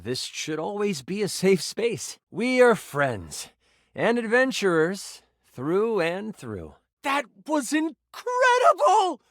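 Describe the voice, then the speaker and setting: Animated, earnest game master. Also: male voice, extremely high pitch, dry recording, clean studio sound, full character voice. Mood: friendly, awkward, then excited, shy. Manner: theatrical, earnest, awkwardly gushing. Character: earnest, excitable nerdy game master, a living D20. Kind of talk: dramatic tabletop game narration and chat.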